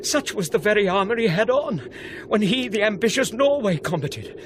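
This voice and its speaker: Learned British sceptic in Shakespearean radio drama, high voice, slow measured declamation, noisy ambient recording. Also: male voice, animated. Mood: shaken, grave. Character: sceptical scholarly male friend of a prince, shaken and awed.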